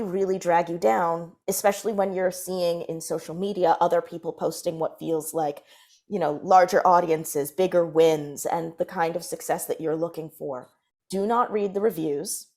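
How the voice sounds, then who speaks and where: A woman's voice: lowish voice, a little mellow. A woman speaking earnestly and emphatically as a panelist, giving advice in a discussion. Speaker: panelist, fiction podcast creator.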